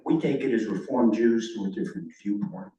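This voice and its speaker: Guy in the congregation chiming in, hesitant, mellow voice, far off and muffled in a big echoey room. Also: fairly low pitch, brisk. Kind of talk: casual remarks in a group discussion. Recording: dull, very echoey sound from far off.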